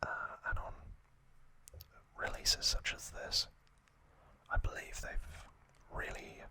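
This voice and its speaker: A man hosting ASMR, giving a trivia recap in a soft, breathy, unvoiced whisper with halting, informal asides. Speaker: ASMR host.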